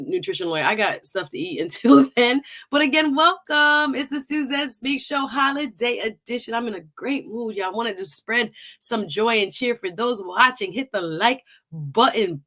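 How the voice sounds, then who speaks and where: A woman's voice: medium pitch, a bit bright. Upbeat woman hosting a talk show. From Jamaica. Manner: chatty, upbeat, laughs often. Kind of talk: live-stream talk show intro.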